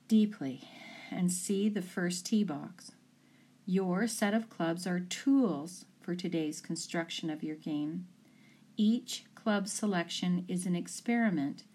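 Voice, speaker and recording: A woman with a slightly low voice leads a guided visualization as a coach. She speaks very slowly and deliberately, setting out short, soothing instructions in a calm, scripted delivery. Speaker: sports mind coach leading guided imagery.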